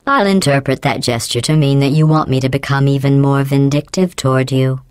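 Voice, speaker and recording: A woman voicing a cold, sarcastic female AI in a game, with a robotic processed sound and a deadpan, mocking, passive-aggressive delivery. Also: rumbling low, brisk, clean tone, dry recording, clean studio sound. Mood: dry mockery. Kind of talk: video game voice acting.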